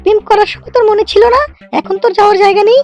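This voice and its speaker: A woman doing a very high, fast cartoon girl. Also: fairly even intonation, a little mellow, soft background music. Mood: scornful. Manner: emphatic, argumentative. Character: prim, outspoken young woman, traditional and firm. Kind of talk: amateur cartoon comedy dialogue.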